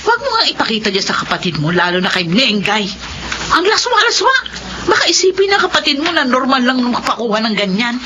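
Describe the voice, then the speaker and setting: Radio drama mother: middle-aged woman, stern, worried, very animated pitch, noisy recording. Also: fairly quick, lo-fi sound. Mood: angry, scolding. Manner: scolding, emphatic. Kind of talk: Filipino radio drama acting.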